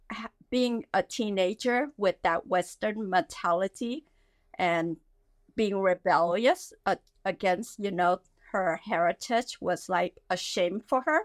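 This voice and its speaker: A woman, a guest speaking haltingly with many fillers in a casual interview. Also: mid-range voice, no room sound. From Vietnam. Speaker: guest, skincare founder.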